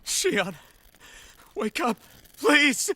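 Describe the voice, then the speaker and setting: Young swordsman lead: protective, halting, slow, with lively inflection. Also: very high-pitched, a little hiss or hum, heavily acted. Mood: desperate. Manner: halting, earnest. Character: earnest young male swordsman hero, protective and anxious. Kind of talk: video game cutscene voice acting.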